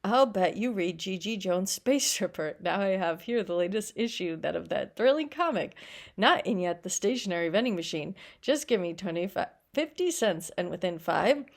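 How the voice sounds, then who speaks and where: A woman voicing a patient male sales robot in a storybook, with measured, winning salesman's patter. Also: on the low side, expressive. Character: smooth-talking male sales robot, patient and single-minded.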